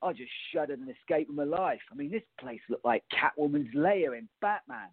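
A raspy, creaky actor as a young bachelor, nervous and exasperated in a farce radio play. His fairly high voice is flustered and sarcastic. The audio is narrow, like a telephone. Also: expressive, brisk. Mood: shaken, exasperated. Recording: muffled, phone-like narrow-band audio.

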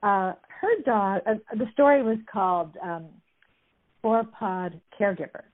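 A woman's voice: medium pitch, lively intonation, measured pace. A woman chattily retelling stories as a guest, amused, with many fillers. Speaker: guest discussing a book. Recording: tinny phone-like line.

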